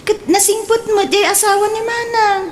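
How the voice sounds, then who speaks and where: An actress with an Ilocano accent playing a plain-spoken married daughter in a comedic radio drama. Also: female voice, very high voice, level tone, normal speed, slight background noise. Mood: wistful, gossipy.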